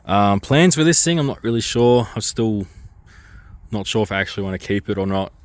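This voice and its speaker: A very low-voiced man walks through his car projects in a casual vlog. He is coming down with a cold and his voice is a little worn. He talks in a loose, rambling way, full of ums and restarts. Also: expressive, brisk, dry recording. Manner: rambling, hesitant, lots of ums. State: getting a cold, losing his voice. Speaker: car vlogger.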